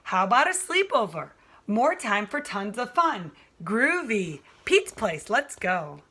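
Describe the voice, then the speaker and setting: A woman's voice: fairly high pitch, sing-song, slow, pushed and bright, breathy edge, exaggerated voice. A woman voicing a laid-back, groovy male cat from a children's storybook, with hip, easygoing slang. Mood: upbeat, groovy. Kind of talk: children's storybook read-aloud.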